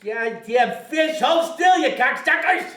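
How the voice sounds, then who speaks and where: A man's voice: very high-pitched, animated, takes it slowly, fairly bright tone, full character voice. A man playing a cantankerous, foul-mouthed Canadian bait shop owner in a comedic table read. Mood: irritated. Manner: profane, cantankerous, barking insults. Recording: echoey room, distant from mic.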